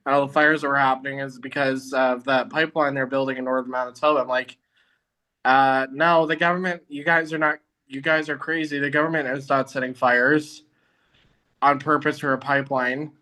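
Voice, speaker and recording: Bright, youthful-sounding Canadian man. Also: mid-range voice, level tone, brisk, rough recording. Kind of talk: casual chat.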